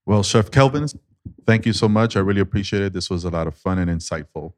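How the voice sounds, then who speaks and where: Deep-voiced laid-back male podcast host. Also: quick pace, no room sound. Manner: laid-back, many fillers and short backchannels. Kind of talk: casual interview and podcast outro.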